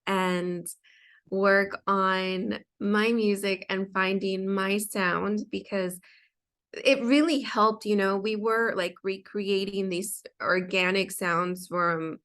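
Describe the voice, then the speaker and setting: A woman's voice: mid-range voice, steady tone, slow pace, fairly bright tone. A young adult woman, a designer and singer, telling her story as an interview guest in a chatty way full of fillers and restarts.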